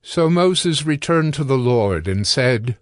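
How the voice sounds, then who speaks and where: A man's voice: medium pitch, expressive, slow, no room sound, clean studio sound. A man narrating scripture as a dramatic, scripted audio production. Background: cinematic music.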